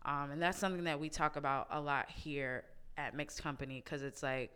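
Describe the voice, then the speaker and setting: A woman's voice: low-pitched, fairly even intonation, a little fast, fairly bright tone, clean tone. A woman hosting a casual podcast chat, laid-back, swearing casually and laughing often. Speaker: podcast host.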